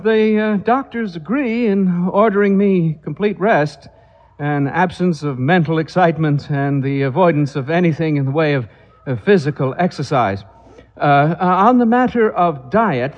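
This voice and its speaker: Man acting a nervous, fussy hypochondriac gentleman, pitched up. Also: unhurried, highs cut off. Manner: hesitant, throat-clearing, stammering.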